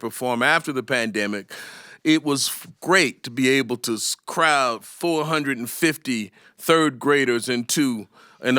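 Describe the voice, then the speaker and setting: A man's voice: on the high side, sharp tone, dry recording. An older American man storytelling live to an audience in a conversational, humorous way. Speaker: storyteller and musician.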